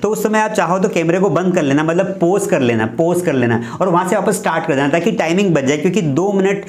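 Young indian guy teaching, kinda high voice, explains stuff casually, echoey room. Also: quick pace, darkish tone. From Madhya Pradesh, India. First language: Hindi. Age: 20s. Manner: explanatory, instructive, with example sentences. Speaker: English teacher, host. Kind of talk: informal lesson to camera, mixing Hindi and English. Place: small furnished room at home. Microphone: clip-on lapel microphone. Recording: roomy indoor echo.

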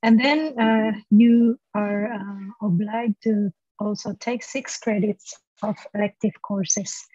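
A woman's voice: mid-range voice, fairly even intonation, slow, hardly any pauses, warm tone, breathy edge, no echo. A woman, a university lecturer explaining a study program, with many "uh" fillers. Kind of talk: presenting a study program over a video call. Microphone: laptop mic on a video call. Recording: dull lo-fi video call audio.

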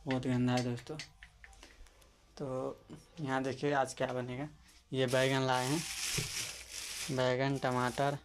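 A man's voice: medium pitch, background noise. Chatty man narrating a home cooking vlog. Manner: chatty, keeps addressing friends, narrates each step. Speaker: cooking vlogger. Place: small home kitchen, indoors. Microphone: handheld phone camera. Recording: phone video with kitchen clatter. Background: clattering dishes and pots.

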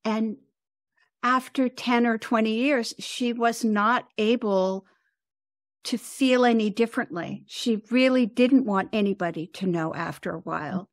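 An American woman of seventy talking reflectively as an interview guest, with thoughtful, halting phrasing. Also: fairly high pitch, no room sound, studio quality. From United States. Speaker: podcast guest, composer and author.

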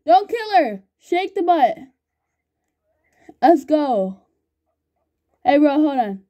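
A woman chatting excitedly while playing an online game with a friend, laughing often and calling everyone bro. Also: high-pitched, slow, highs cut off. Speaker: gamer recording gameplay. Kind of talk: excited gaming banter with a friend.

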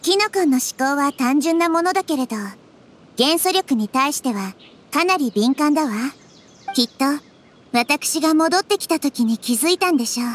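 A woman voicing a wise, gentle child-like nature deity in an anime game, calmly and thoughtfully explaining in a soft girlish voice. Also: high voice, normal speed, a little hiss or hum. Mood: calm, reassuring.

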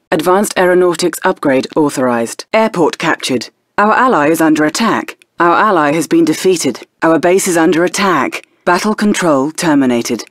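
An actress playing a composed female military intelligence officer, giving crisp, formal status announcements in a strategy video game. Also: lowish voice, dry recording, studio quality, close to an everyday voice.

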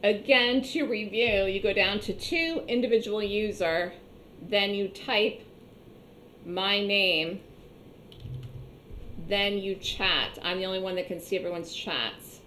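A woman with a slightly hoarse voice teaching an online trading class, explaining step by step and repeating points conversationally. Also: medium pitch, takes it slowly, sharp tone, slight background noise. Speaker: trading instructor. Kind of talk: online trading webinar lecture.

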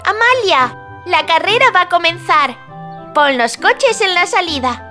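A woman's voice: very high voice, animated, measured pace, bright, forceful tone, faint music behind, heavily acted. A woman voicing a cheerful, helpful little girl in a children's cartoon, talking to young viewers in a teacherly, exclamatory way. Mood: playful. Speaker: main character and presenter of a children's cartoon.

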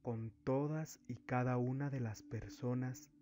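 A man narrating a guided meditation as a spiritual guide, giving deliberate instructions in short phrases with long pauses. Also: medium pitch, steady tone, warm tone. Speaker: spiritual therapist, meditation guide and channel host. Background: background music.

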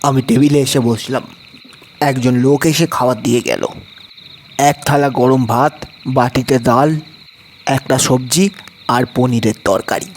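A man's voice: medium pitch, fairly even intonation, measured pace, long pauses, a little hiss or hum, natural voice. A man playing a frightened diner who recounts a haunted hotel in a dramatised horror audio story. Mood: suspenseful narration. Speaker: story narrator and lead actor. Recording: music bed under the voice.

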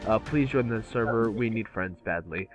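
A man's voice: lowish voice, moderate pace. A man who runs a table read, signing off casually with joking, self-deprecating remarks. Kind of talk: casual sign-off chat. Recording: music under the voice.

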